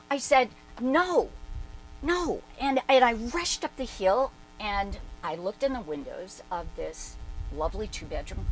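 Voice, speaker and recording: A woman's voice: on the high side, rough recording. A woman performing a dramatic reading as a brash, independent-minded woman recalling her past in emphatic, conversational asides. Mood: excited. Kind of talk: dramatic reading, animated first-person storytelling.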